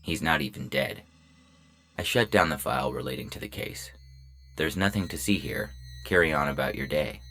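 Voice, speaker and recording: Deep gravelly robot lawman voiced by a man. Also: normal speed, exaggerated voice. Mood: coolly officious. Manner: deadpan, clipped, matter-of-fact. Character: robot lawman, polite, officious, coolly controlling. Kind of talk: first-person science fiction audio story. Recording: music bed under the voice.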